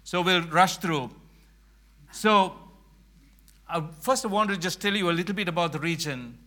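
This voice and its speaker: Man from sri lanka, middle-aged, high bright voice, earnest church talk, slows for emphasis. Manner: earnest, emphatic with slow stressed phrases. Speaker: mission speaker. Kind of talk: church presentation.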